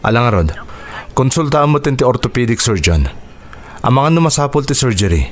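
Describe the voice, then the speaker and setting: An earnest male doctor played by a man from a radio drama, speaking with a Filipino accent. He is modest and businesslike, with a mid-pitched voice. Faint noise sits behind the recording. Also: expressive, normal speed, natural voice. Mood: brisk, professional. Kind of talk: Ilocano radio drama acting.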